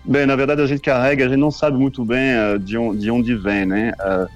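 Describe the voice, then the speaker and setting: A man's voice: medium pitch, level tone, muffled recording, soft background music. A man, a French-born musician, answering questions on a radio show, with frequent restarts and fillers. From France. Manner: halting, many restarts and fillers. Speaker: musician guest.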